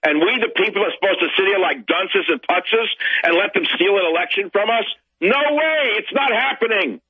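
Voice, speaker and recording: A man with a husky voice, ranting indignantly as a talk show guest. The sound is dry and dull, like a muffled line. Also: high voice, lively intonation, moderate pace, no room sound. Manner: indignant, ranting, climbs to loud outbursts. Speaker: guest commentator. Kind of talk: political talk show interview.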